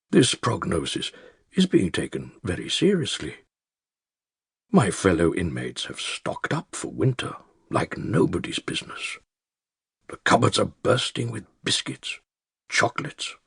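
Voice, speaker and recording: Husky, deep old British diarist. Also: male voice, a bit bright, no echo, exaggerated voice. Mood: wry. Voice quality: gravelly, husky. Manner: dry, wry, measured and articulate. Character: elderly male care-home resident writing a wry secret diary. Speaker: audiobook narrator. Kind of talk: scripted first-person diary narration.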